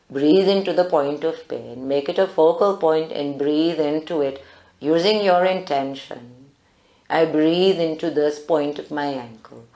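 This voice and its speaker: A woman with a low-pitched voice leads a guided meditation. Her delivery is calm, deliberate and instructive. The live recording sounds very roomy. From South Africa. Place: indoor group meditation room.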